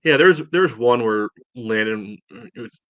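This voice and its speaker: A man co-hosting a soccer podcast, breaking down a match in casual, halting talk. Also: mid-range voice, animated, fairly bright tone, dry recording, highs cut off. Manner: halting, peppers talk with "you know". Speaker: soccer podcast co-host and analyst.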